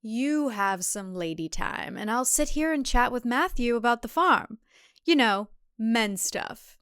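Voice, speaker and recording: A woman's voice: on the high side, expressive, unhurried, fairly bright tone, clear, resonant tone, no echo, studio quality. A woman playing a controlling, falsely genial farm husband in an audio story, hearty and domineering like a salesman. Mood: dismissive.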